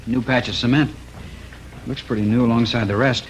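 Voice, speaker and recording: An actor playing a practical police detective in a 1950s radio drama, speaking briefly and to the point. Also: male voice, medium pitch, steady tone, brisk, highs cut off, natural voice. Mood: alert, practical. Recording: echoey, very noisy old radio recording.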